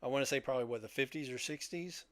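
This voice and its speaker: An older man with a mid-pitched voice chats casually as a podcast co-host. The sound is dry and close, and he talks like someone among friends discussing old movies. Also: fast, a bit bright, no room sound. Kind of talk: casual group chat about old films.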